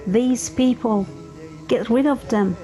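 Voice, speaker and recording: A woman reciting a slow, measured spoken-word poem. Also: a little high, dark tone, soft background music. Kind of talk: slow, even spoken-word poetry over music.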